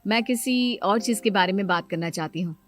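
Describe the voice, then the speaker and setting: A woman's voice: medium pitch, fairly quick, soft background music, lightly acted. A woman playing an insistent, scheming sister-in-law and mother in a dramatised moral story. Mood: coaxing, insistent.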